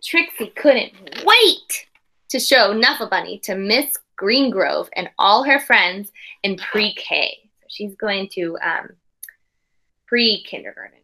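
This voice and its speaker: A woman's voice: mid-range voice, expressive, takes it slowly, pushed and bright, poor audio. A woman reading a picture book aloud to young children like a teacher, expressively, with explanatory asides and questions.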